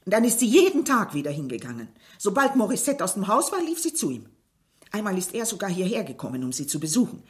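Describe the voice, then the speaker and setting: A woman's voice: mid-range voice, big pitch swings, quick pace, lightly acted. An actress playing a middle-aged, complaining village mother in a German radio drama, defensive and aggrieved. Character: strict, bitter, complaining French village widow and mother.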